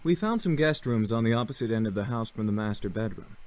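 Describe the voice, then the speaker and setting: An actor playing a polite, dutiful young gentleman in a visual novel game, speaking in a measured, reassuring way. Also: on the low side, lively intonation, natural voice. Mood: composed, reassuring.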